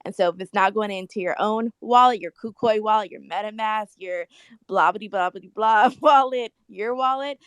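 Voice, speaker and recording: A woman's voice: medium pitch, normal speed, a bit bright, smooth, dry recording. A woman talking casually and frankly on a panel, blunt and slangy, hammering her points. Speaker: panelist in an online music discussion.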